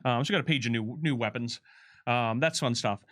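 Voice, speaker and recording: A man's voice: mid-range voice, brisk, a bit bright. A man giving casual, unscripted commentary as a game developer, often starting a sentence over as he explains things.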